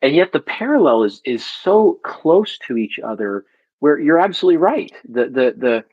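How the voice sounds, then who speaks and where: Male co-host, husky mid-pitched voice, dull lo-fi recording, stammers at starts of thoughts. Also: sing-song, moderate pace. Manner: repeats words when starting thoughts, reflective. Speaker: podcast co-host. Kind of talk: conversational interview.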